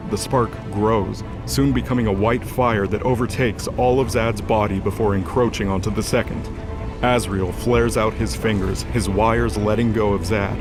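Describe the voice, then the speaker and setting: Low-voiced man narrating drama. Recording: music bed behind voice.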